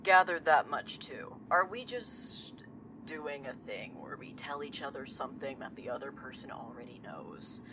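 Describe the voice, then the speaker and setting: A woman playing a blunt, sardonic seer in an audio drama, speaking in a dry, deadpan manner. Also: extremely low pitch, unhurried, background noise. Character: blunt, sardonic woman who sees visions.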